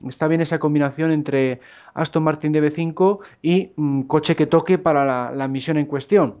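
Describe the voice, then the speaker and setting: A man's voice: on the high side, steady tone, normal speed, a little mellow, clean tone. Man hosting a casual debate. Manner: asks guests questions, frequent acknowledging murmurs. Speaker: debate host. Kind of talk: casual discussion moderating. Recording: muffled lo-fi audio.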